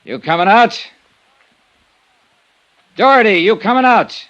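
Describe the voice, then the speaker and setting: A man's voice: high-pitched, lively intonation, a little slow, a bit bright, dry recording, dull audio. An American man acting a tough, terse insurance investigator in an old-time radio detective drama, narrating in a clipped, matter-of-fact way. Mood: firm, commanding. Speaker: lead actor and narrator of a detective serial. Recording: vintage radio recording, faint music.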